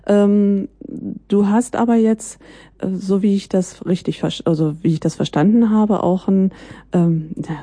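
A woman's voice: mid-range voice, steady tone, a little slow, dark tone, dry recording. A woman interviewing in a casual, conversational way, with hesitant phrasing and an encouraging manner.

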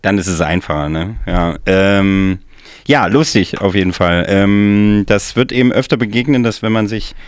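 A man's voice: fairly low pitch, moderate pace, smooth, no room sound. A man hosting a music show in casual, rambling, joking chat. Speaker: radio music show host. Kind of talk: casual chatty radio moderation.

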